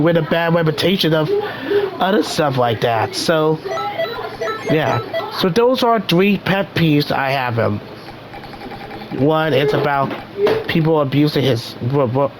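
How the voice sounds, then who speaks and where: A young man hosting a casual gaming vlog, rambling with many restarts while his voice recovers from being hoarse. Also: a little high, background noise. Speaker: gaming channel host.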